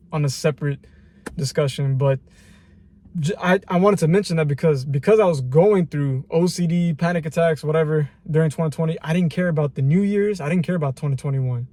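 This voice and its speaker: A man's voice: a little high, a little mellow. A man in his twenties talking casually and reflectively to camera in a rambling personal vlog. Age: 20s. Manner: reflective, rambling, slangy with repeated phrases. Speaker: vlogger.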